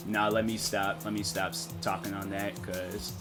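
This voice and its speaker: A man streaming a game and chatting casually, rambling and thinking out loud with lots of fillers. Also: fairly low pitch, flat delivery, moderate pace, music in the background. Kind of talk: casual live-stream chat.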